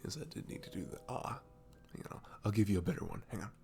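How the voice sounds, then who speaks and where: A very low, crackly male voice of an actor as a sweet, comforting barista in an ASMR roleplay. He rambles gently and hesitantly at an unhurried pace, close up, with music playing behind him. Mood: casual, playful. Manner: gentle, rambling, hesitant, breathy chuckles and sighs. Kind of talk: ASMR roleplay, soft casual chat.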